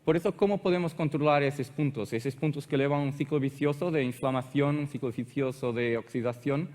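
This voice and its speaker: A man from Portugal with a Portuguese accent, a native Portuguese speaker giving a technical conference presentation, halting and searching for words with frequent restarts. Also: mid-range voice, steady tone, a little mellow, big-room sound.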